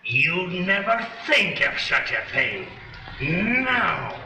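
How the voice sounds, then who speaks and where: An actor playing a bitter, sardonic ex-convict burglar in a 1930s crime film, menacing and taunting in tough slang. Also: male voice, sits high, big pitch swings, extremely slow, sharp tone, heavily acted. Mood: suspicious, scornful. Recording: noisy, echoey 1930s film soundtrack.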